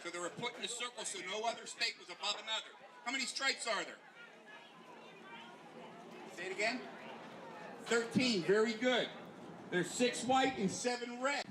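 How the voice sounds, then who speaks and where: A man with a fairly high voice presents to children in a simple teaching style. He poses questions and explains plainly, with clear outdoor noise around him. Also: normal speed, pauses often, a crowd in the background. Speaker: flag etiquette presenter. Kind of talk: educational talk to children. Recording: noisy outdoor event recording.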